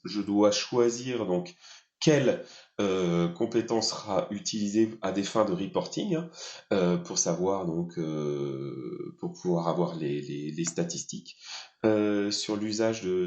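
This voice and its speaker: A man giving an unscripted live software demonstration, explaining step by step with a hesitant, halting delivery. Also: fairly low pitch. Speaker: software demo presenter. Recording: echoey room, slightly dull sound.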